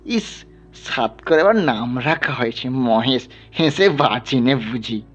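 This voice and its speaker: A man voicing an angry, scornful village Brahmin who hectors a poor man loudly in an audio story. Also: medium pitch, animated, slow pace, lo-fi sound. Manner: loud, scolding, hectoring.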